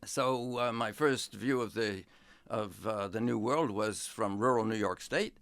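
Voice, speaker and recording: Older male academic guest, unhurried anecdotes, dry studio sound. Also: on the low side, a bit bright, dry recording. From England. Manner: unhurried, reflective storytelling with many pauses. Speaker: history professor, guest. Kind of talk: relaxed interview talk.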